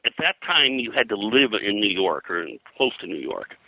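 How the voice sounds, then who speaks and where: An older man reminiscing in an interview as a comics industry veteran, in a halting, rambling way peppered with "you know". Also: fairly low pitch, very animated, bright, forceful tone, no echo, rough recording.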